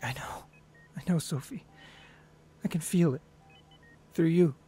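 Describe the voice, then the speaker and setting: An actor playing a plain-spoken, weary husband in a radio drama. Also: male voice, a little high, a little slow, warm tone, husky. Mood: tender, weary. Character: skeptical, weary husband, later softened and grateful.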